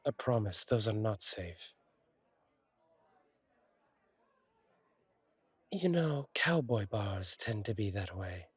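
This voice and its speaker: Husky, low-voiced friendly angel bartender played by a man. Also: a little slow, no room sound. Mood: caring, relieved. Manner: reassuring, chatty, wryly playful, slightly formal wording. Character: friendly, attentive male fallen-angel bartender with gentle wry humour. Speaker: bartender character in audio roleplay. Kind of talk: intimate one-sided roleplay audio acting.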